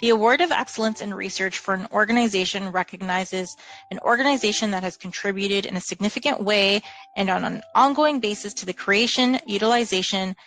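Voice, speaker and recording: A woman narrating a scripted award video with an even, formal read. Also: medium pitch, poor audio. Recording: soft music behind.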